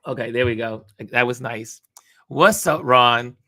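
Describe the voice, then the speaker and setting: A raspy-voiced man hosts a casual live stream and reads out viewer greetings. He talks slowly, and his tone is bright and forceful. The sound is dry and close. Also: medium pitch, dry recording. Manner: reads viewer comments aloud, upbeat shout-outs. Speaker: host.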